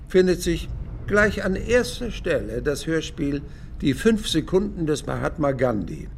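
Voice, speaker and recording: An actor playing a reflective, disillusioned elderly radio dramatist in a monologue. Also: male voice, a little high, gravelly, slight background noise, full character voice. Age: older.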